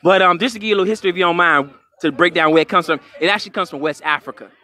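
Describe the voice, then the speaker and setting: A man interviewing people in a casual, energetic street interview, slangy and full of questions. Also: high voice, very fast. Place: outdoors at a carnival.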